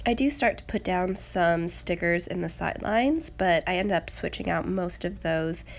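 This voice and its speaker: A young woman, slightly raspy as she gets over a cold, chattily narrating a craft video in a casual, self-deprecating way. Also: fairly low pitch, moderate pace. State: getting over a rough cold. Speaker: planner channel host.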